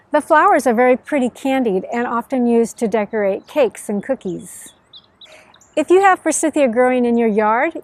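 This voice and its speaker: High-voiced woman reading a children's story. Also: dry recording. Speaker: host, storyteller and author. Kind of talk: read-aloud storytelling for children. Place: outdoors under a tree by a field.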